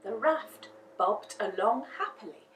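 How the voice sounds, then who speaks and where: A woman reading a children's storybook aloud as an expressive storyteller. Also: fairly high pitch, unhurried, faint music behind. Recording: roomy, distant microphone.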